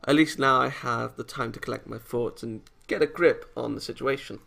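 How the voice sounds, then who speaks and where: Male let's play host, bright, forceful voice, animated reactions while narrating a visual novel, music underneath. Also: mid-range voice, normal speed, gravelly. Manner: animated, exasperated outbursts.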